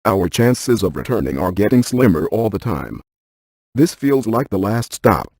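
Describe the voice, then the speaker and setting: An actor playing a brainy, cautious paranormal scientist in a video game, speaking in a deadpan, precise, technical manner. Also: male voice, lowish voice, measured pace, husky, no room sound, full character voice. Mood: dry, focused. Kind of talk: scripted video game character lines.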